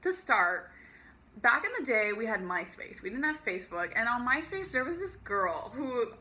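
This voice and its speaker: A woman's voice: big pitch swings, moderate pace, bright, forceful tone. A young woman telling a personal story to camera in a chatty, peppy way, laughing often and using lots of fillers. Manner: peppy raised voice over a lower natural one. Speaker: vlogger. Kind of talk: casual storytime monologue.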